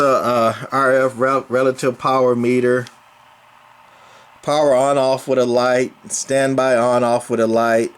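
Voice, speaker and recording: A man giving a casual, hesitant equipment demonstration as a hobbyist presenter, often pausing with ums. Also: mid-range voice. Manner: hesitant, halting delivery with frequent ums and pauses. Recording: noticeable background noise.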